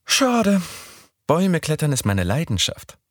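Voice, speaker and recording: A man voicing a bold, whimsical young woman in an audiobook, with a raised, lively, teasing lilt. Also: a little high, unhurried, no echo, clean studio sound, pitched above the performer's own voice, heavily acted. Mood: cheerful. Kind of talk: audiobook character voice.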